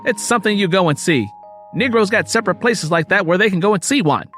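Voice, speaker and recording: A man doing a high, condescending old Southern storekeeper. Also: expressive, quick pace, fairly bright tone, soft background music. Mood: condescending, falsely cordial. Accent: American South. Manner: patronizing, domineering, emphatic.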